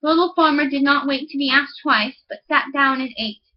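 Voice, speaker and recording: A woman's voice: high voice, fairly quick, muffled recording. A woman reading a fairy tale aloud as scripted audiobook narration. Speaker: audiobook reader.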